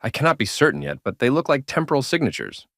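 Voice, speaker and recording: A man's voice: fairly high pitch, animated, fast, creaky, fairly bright tone, no room sound, studio quality. A man playing a courteous, formal scientific investigator who is keen on puzzles in a sci-fi audio drama. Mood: intrigued.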